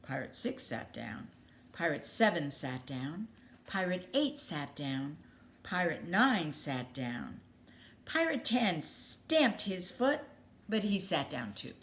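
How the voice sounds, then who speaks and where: A woman reading a children's story aloud expressively, with dramatic pauses and emphasis. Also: fairly low pitch, big pitch swings, fairly bright tone, slight background noise.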